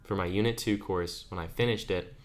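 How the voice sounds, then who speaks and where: A man's voice: low voice, steady tone, fairly quick. An eighteen-year-old man co-hosting a podcast, telling stories in a chatty way and laughing as he goes. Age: under 20. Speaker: podcast co-host. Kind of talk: casual chat.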